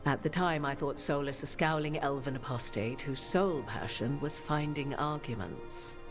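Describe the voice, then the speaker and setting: A woman playing a solemn human sorceress in a video game, speaking in measured, archaic, formal phrases. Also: deep voice, natural voice. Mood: measured, proud. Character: solemn human sorceress bearing an ancient goddess's memories. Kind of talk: dramatic video game dialogue. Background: prominent music.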